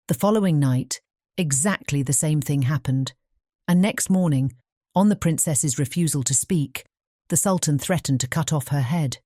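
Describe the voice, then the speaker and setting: A woman narrating a fairy tale audiobook in a measured, formal storytelling manner. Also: deep voice, warm tone, no echo, clean studio sound.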